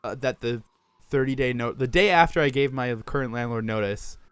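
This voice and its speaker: A man co-hosting a podcast in casual chat, raspy from a sore throat, joking at his own expense and laughing often. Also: mid-range voice, fairly quick, dry recording. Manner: self-mocking, laughs often. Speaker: podcast co-host.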